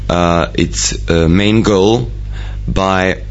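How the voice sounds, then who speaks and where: A man speaking slowly and deliberately in a discussion, with many ums as he builds his point. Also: sits low. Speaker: discussion participant. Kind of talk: measured discussion. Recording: dull lo-fi recording with faint noise.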